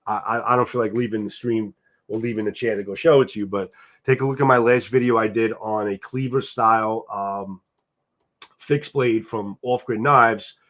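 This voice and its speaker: A man's voice: lowish voice, steady tone, moderate pace, fairly bright tone. New York Italian-American man chatting on a livestream. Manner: rambling, repeats words, casual swearing. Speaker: livestream host. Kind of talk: casual live chat reading comments. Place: home, seated in a chair.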